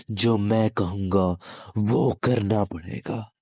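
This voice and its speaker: Low, slow-talking possessive demon played by a man. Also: steady tone, a little mellow, dry recording, studio quality. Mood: calm menace. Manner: slow, taunting, halting delivery with long pauses. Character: menacing, possessive male demon, captive turning predator. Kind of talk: intimate audio roleplay monologue addressed to listener.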